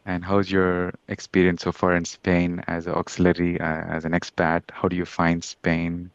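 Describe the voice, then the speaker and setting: A man with a very low voice hosts a casual podcast interview, his phrasing friendly and a little non-native. The sound is dry and slightly muffled, with little room in it. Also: monotone, moderate pace, clean tone, no echo. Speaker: podcast host.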